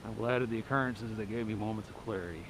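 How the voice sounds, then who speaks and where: A middle-aged man from Texas with a lightly softened Texas accent, talking bluntly and wryly as he walks a residential street at night. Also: on the low side, fast, vocal fry. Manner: blunt, wry, talks while walking. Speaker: walking vlogger. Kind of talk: rambling night-walk monologue. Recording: street noise and passing cars.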